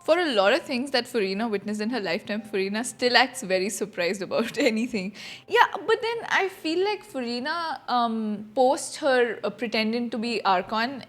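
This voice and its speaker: Young woman, raised pitch, casual chatty game reactions, rambling, soft background music. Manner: rambling, conversational. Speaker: game streamer. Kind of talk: casual live reaction commentary.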